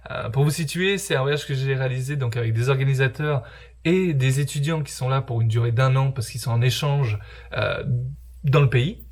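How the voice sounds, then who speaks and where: A man's voice: mid-range voice, moderate pace. A young man narrating his own travel vlog casually, joking around with slang and poking fun at himself. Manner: joking, slangy, self-mocking. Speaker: travel vlogger.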